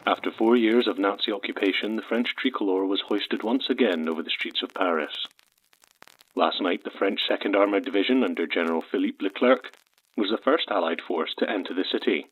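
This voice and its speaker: An actor playing a formal, measured male newsreader of the 1940s, heard through an old radio filter. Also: sits low, husky. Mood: solemn, formal. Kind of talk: 1940s radio news bulletin. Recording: muffled old radio broadcast sound.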